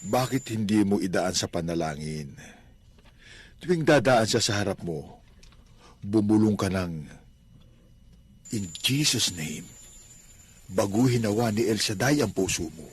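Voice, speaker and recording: An actor playing a kindly, devout middle-aged Filipino father and landlord in a radio drama, speaking gently and earnestly with small hesitations. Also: on the low side, lively intonation, a little slow, pauses often, husky. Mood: concerned.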